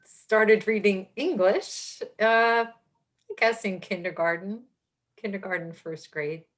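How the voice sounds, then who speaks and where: A woman from New Jersey reading aloud carefully and deliberately. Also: mid-range voice. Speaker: personal assistant at a college.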